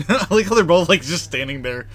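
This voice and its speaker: A man's voice: on the high side, animated, brisk, a bit bright, breathy edge. A man giving casual live commentary over gameplay, with wry, slangy reactions. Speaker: live game streamer.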